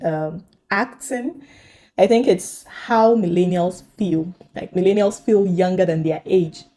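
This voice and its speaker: A millennial woman hosting a conversational video commentary, speaking haltingly and putting questions to viewers. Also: lowish voice, mellow. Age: young adult to middle-aged, millennial. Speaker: host.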